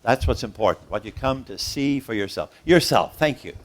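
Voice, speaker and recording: A man giving an interactive lecture to a gathered audience, preacherly and rhythmic, with slow dramatic pauses and sudden rises, putting questions to the room. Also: medium pitch, animated. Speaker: guest professor and speaker.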